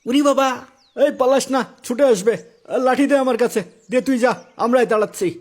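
Man voicing an anxious, very high-pitched village gravedigger. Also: fairly quick. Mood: alarmed. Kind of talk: cartoon dialogue.

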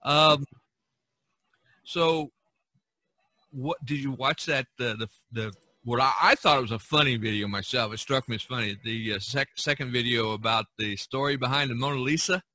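A man hosting a casual podcast discussion, talking haltingly and often repeating his words. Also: medium pitch, pushed and bright, dry recording. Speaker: podcast host.

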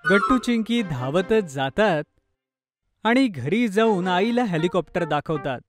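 A woman's voice: on the low side, animated, unhurried, over music. A woman reading scripted narration for a children's cartoon story.

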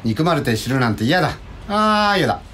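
A man playing a playful, weary middle-aged villain actor in a radio drama, swinging theatrically between low murmurs and high whines. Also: big pitch swings, fairly quick, a bit bright, a little hiss or hum. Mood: dejected, whiny.

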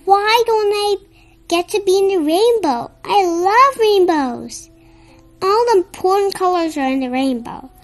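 A child reading a children's picture book aloud in an expressive, somewhat halting way, with stumbles. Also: extremely high pitch, soft background music. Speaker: storybook reader.

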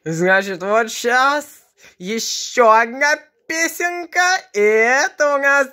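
Unhurried young woman chatting at home. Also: a little high, animated, pushed and bright. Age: young adult. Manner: unhurried, chatty, introducing each song. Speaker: video blogger. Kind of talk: casual chat. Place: room at home, beside a television.

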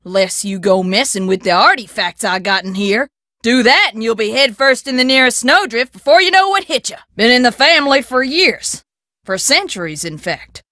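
A woman's voice: a little high, animated, moderate pace, bright, forceful tone, no echo, clean studio sound. A woman voicing a sassy, bossy frontier shopkeeper with a drawling American country twang in a video game. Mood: folksy, chatty. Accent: rustic American country twang. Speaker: keeper of an old-time museum and taffy shop. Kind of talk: video game character dialogue.

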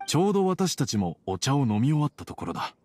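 An actor playing a reserved middle-aged father and merchant in an anime game, with measured, formal speech. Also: mid-range voice, very animated, background noise, close to an everyday voice. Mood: gentle, hesitant.

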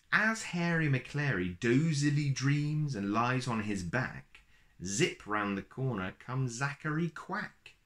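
Slow-paced man reading to children. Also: mid-range voice. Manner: expressive, slow, deliberate phrasing for young children. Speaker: storyteller and host of a children's story channel. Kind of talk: children's picture-book read-aloud. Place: small plain room indoors.

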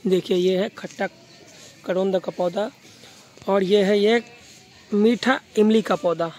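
A man's voice: high-pitched, fairly even intonation, slow, a little mellow, rough recording. A man from Bengal with a Bengali accent, a native Bengali speaker, narrating an informal gardening tour and often repeating himself as he addresses viewers. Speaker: gardening vlogger.

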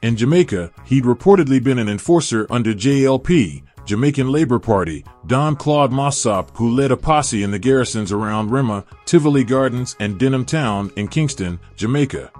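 Man narrating over faint music. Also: medium pitch. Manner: steady, measured read. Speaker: documentary narrator. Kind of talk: scripted documentary narration.

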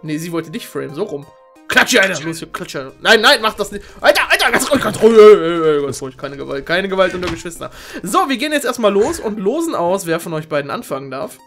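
A man's voice: high-pitched, big pitch swings, fast, a bit bright, soft background music. Playful male game show host, exclamatory. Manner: joking, exclamatory, theatrical.

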